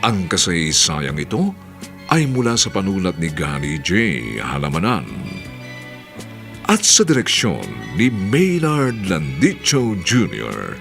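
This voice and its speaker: A Filipino man announces a radio drama with theatrical flair. His slightly low, gravelly voice swoops dramatically in pitch. The scripted narration rides over music. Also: huge pitch swings, measured pace, a bit bright.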